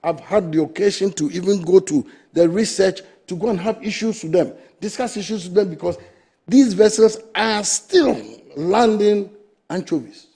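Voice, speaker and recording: Man, Ghanaian accent, middle-aged, gravelly, husky, dark, forceful, argumentative. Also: sits high, moderate pace. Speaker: fisheries association president, studio guest. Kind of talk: TV studio discussion.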